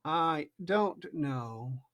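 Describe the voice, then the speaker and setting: A parent reading aloud, voicing a contemptuous, curt caterpillar in a slow, drawn-out way. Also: medium pitch, animated, fairly bright tone. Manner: slow, drawn-out, clipped replies.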